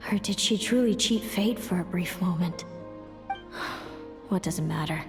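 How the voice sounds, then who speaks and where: A woman playing a solemn, fatalistic young seeress in a video game, speaking in a measured, brooding, formal way. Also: lowish voice, over music. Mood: grave, troubled. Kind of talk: dramatic video game voice acting.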